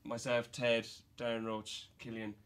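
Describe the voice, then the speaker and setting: Male band member, Irish accent, mid-pitched voice, casual storytelling in an interview. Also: completely flat delivery, unhurried, fairly bright tone. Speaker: band musician being interviewed. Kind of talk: casual chat.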